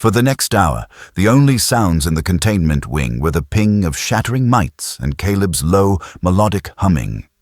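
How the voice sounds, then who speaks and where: A man narrates a sci-fi audiobook in a very deep, husky voice. His delivery is dramatic, with animated pitch movement. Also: normal speed, few pauses, no room sound, clean studio sound.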